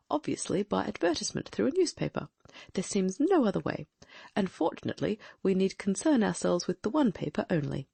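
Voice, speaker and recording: A woman's voice: mid-range voice, vocal fry, darkish tone, husky, no room sound, full character voice. A woman voicing a brilliant, confident Victorian detective in an audiobook, reasoning aloud with precise diction. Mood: musing. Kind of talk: audiobook dramatic reading.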